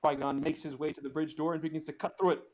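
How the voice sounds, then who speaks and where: A man's voice: on the high side, level tone, fast, highs cut off. A man narrating screenplay directions in a casual group read, dropping in amused asides.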